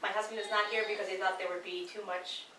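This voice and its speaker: A woman's voice: mid-range voice, flat delivery, fast, sharp tone, slight background noise. A woman working as a college counselor, chatting and laughing as she introduces herself at a gathering at her home. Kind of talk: casual self-introduction at a gathering. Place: home living room gathering. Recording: echoey room from far off. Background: crowd chatter.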